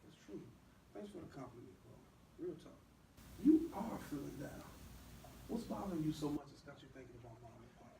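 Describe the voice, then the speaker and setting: A man's voice: a little high, very animated, fairly quick, gravelly, dark tone. A man acting a protective, hot-tempered older brother in a stage play skit, talking in a colloquial, confrontational way. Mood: concerned, prodding. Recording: roomy, distant mic, noisy background.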